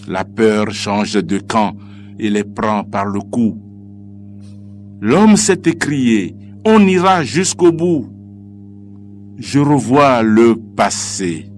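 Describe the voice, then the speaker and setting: A man recites poetry in a solemn, declamatory way, with measured phrasing and deliberate pauses. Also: on the low side. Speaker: poet reciting own poem. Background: music.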